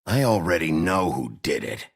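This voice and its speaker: A hard-boiled video game sheriff. His voice is very low and full of gravel, with wide swings in pitch. He is gruff and blunt, a brooding lawman. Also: very animated, normal speed, full character voice. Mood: firm. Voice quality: gravelly, husky. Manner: blunt, confrontational. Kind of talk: dramatic video game acting.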